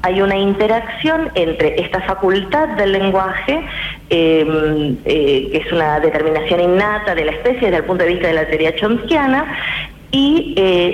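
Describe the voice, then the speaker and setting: Academic woman in a roomy, muffled radio recording. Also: medium pitch. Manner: measured, academic, many hesitations. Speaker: guest linguist. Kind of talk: explanatory radio interview.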